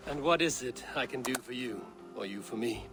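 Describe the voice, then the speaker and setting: An actor voicing a proud, steady male Viking clan leader in a video game, with measured, declamatory delivery. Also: mid-range voice, in a large hall, music in the background, lightly acted. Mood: curious, guarded.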